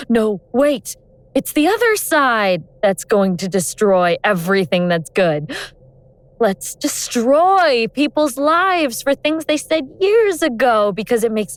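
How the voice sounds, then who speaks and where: A woman playing an awakened ancient goddess of vengeance in human form, speaking in a wry, deliberate, sardonic way in an audio drama. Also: on the high side, sing-song, no echo. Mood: bitter, scornful. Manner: sardonic, world-weary, deliberate explaining.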